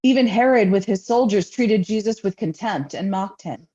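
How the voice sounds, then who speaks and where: Woman pastor reading scripture dramatically. Soft-edged mellow tone, medium pitch, slightly lo-fi audio. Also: moderate pace, slightly rough. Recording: dull, lo-fi sound.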